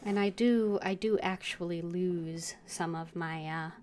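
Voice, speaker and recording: A woman with a somewhat low voice talks slowly and haltingly as she streams a game. She restarts often and fills the gaps with "um" and "uh". Faint ambience hums behind her. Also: fairly even intonation, darkish tone, slight background noise. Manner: halting, with many fillers and restarts. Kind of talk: casual gaming stream commentary.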